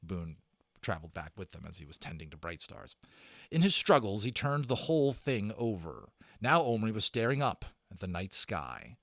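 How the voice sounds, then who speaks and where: A male narrator with a slightly low voice reads an adventure story aloud. His pitch swings widely, and he leans into key words with theatrical stress and pauses. The recording is close and dry. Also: very animated, fairly bright tone, dry recording. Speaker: audiobook narrator and channel host. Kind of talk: dramatic read-aloud storytelling of a children's novel.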